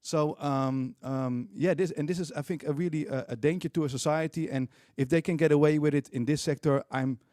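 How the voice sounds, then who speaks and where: Earnest man, slightly higher voice. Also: fairly even intonation, a little mellow, no echo, clean studio sound. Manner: earnest, halting with many fillers. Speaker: union organizer. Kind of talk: panel talk.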